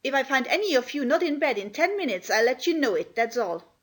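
A high-pitched, furious housemaid, played by a woman reader, scolding indignantly. She sounds like an affronted grown woman in a children's storybook. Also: big pitch swings, fairly quick, a bit bright, exaggerated voice. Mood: angry.

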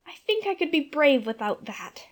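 A woman's voice: sits high, sing-song, normal speed. A woman playing a timid, anxious little sister in a dramatized children's audiobook, with a nervous, childlike manner.

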